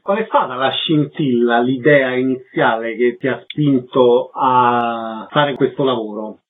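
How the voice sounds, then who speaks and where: A man's voice: medium pitch, measured pace. A man hosting a radio interview, introducing his guest and putting questions to him. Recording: narrow phone-like sound, faint noise.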